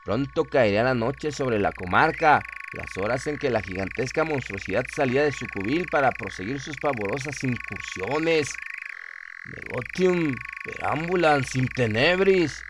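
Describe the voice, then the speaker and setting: A man's voice: mid-range voice, animated, moderate pace, hardly any pauses, background noise. A man narrating a scripted horror story in a solemn, dramatic storytelling manner.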